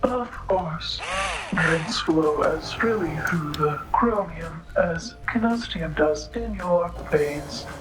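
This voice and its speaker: An actress playing a polite, sly female android bartender in a noir audio drama, speaking formally and literally in halting, precise phrases. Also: sits low, music in the background, poor audio. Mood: politely robotic.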